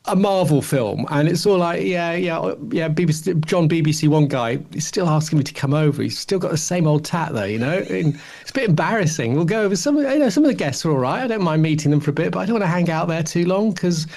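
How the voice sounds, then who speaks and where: A man's voice: fairly high pitch, expressive, quick pace, creaky, hardly any pauses, a little mellow. A middle-aged man with a British accent co-hosting a podcast, chatting casually in a rambling, stop-start way. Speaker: podcast co-host. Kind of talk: casual chat about television.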